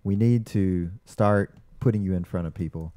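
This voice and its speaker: A man's voice: sits low, normal speed, warm tone, clean tone, no room sound, studio quality. A man co-hosting a podcast, bantering and telling stories in a casual conversational way. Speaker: podcast co-host.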